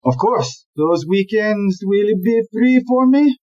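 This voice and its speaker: A woman acting in an audio play as a smooth-talking, dismissive male police chief inspector who is a philanderer. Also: medium pitch, lively intonation, slow pace, darkish tone, dull audio. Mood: suave.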